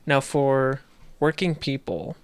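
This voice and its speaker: A man's voice: medium pitch, little pitch movement, takes it slowly, smooth, dry recording. A young man co-hosting a gaming podcast, chatty and joking in casual talk. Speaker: podcast co-host. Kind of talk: casual chat. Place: small room with green screen.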